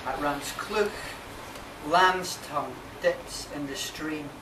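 A man in his thirties giving a measured poetry recital, pausing between short phrases. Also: fairly high pitch. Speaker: poet reading own work. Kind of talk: slow live poetry recital. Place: inside a marquee at a live reading. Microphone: camera mic a few metres away. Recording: distant, echoey, noisy camera recording.

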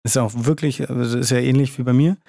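A man with a medium-pitched voice and plenty of fry chats casually as a guest. His speech is colloquial and full of slang, and the sound is dry. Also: steady tone, fast talker, warm tone, no room sound. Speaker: interview guest, photographer. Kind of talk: casual chat.

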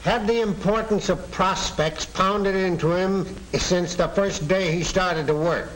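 A man's voice: sits high, measured pace. An actor playing a blunt American father prodding his grown son with pointed remarks in a 1940 training film. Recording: noisy old 1940 film soundtrack.

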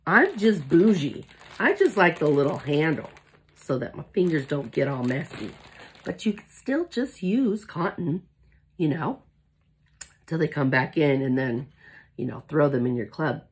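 A woman in her forties who has lost her voice, talking hoarsely with a cough in a chatty, gushing unboxing vlog, seated in a small room in front of a phone camera. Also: low-pitched, very animated, measured pace. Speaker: independent product consultant. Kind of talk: casual product unboxing vlog. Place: small indoor room with shelves, seated at a table.

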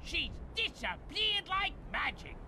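An actor voicing an irritable male parrot henchman in a video game, with a squawky, shrill voice. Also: sing-song, takes it slowly, noisy background, full character voice. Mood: agitated.

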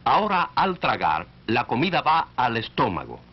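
A man's voice: mid-range voice, wildly swooping pitch, moderate pace. A man narrating a 1940s educational film in an instructive way, speaking directly to the listener. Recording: dull, band-limited 1940s film soundtrack.

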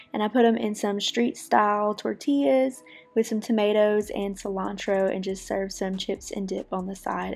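A woman's voice: mid-range voice, gravelly. Chatty woman narrating cooking. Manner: relaxed, chatty, friendly explaining. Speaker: cooking vlogger. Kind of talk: casual cooking voice-over.

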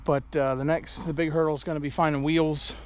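A man's voice: on the high side, flat delivery, a little fast. Tired, folksy farm vlogger man. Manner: easygoing, folksy and chatty. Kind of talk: casual vlog narration. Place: outdoors on a farm and in a pickup truck. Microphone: handheld action camera at arm's length.

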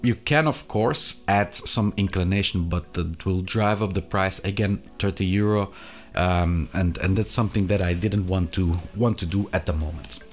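A man in his thirties presenting a product review to camera, with a hesitant, halting delivery and frequent pauses. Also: low voice, faint music behind. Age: 30s. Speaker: video reviewer of sim racing gear. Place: small indoor hobby room.

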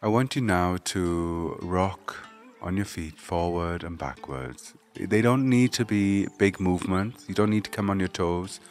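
A man leading a guided meditation, giving gentle, measured spoken instructions. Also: deep voice.

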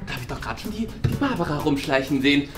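A nosy comedy teacher played by a man with a light, androgynous voice that swings widely in pitch. The delivery is quick, impatient and dramatic. Music plays clearly underneath. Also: lively intonation, close to an everyday voice. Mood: eager, scheming. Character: nosy, huffy, gossiping school teacher in wig. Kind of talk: improvised comedy sketch acting. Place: small meeting room.

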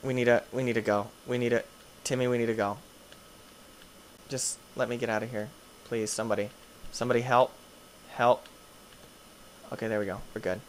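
A young man doing goofy gameplay commentary, tired, his voice deeper late at night, swinging between low mumbles and excited yelps. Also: brisk, pauses often, a little hiss or hum. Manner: goofy, playful, jumps between mumbling and yelping. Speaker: gaming youtuber.

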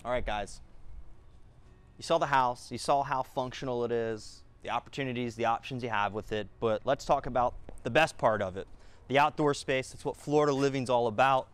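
A man in his thirties leads a real estate tour, his voice medium in pitch. He talks in an upbeat sales patter, firing off features in short bursts as he walks through the house on camera. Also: a little fast, smooth, faint music behind. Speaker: real estate agent giving a home tour. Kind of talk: enthusiastic walkthrough tour. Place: inside and around a single-story house.

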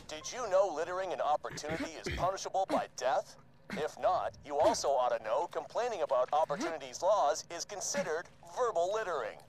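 A smug male city announcer, acted by a man reads laws in a video game. His voice is a little high and cheerily mock-friendly, with a clear hubbub behind it. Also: lively intonation, a little fast, crowd noise, lightly acted, rough recording. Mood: smug, cheery.

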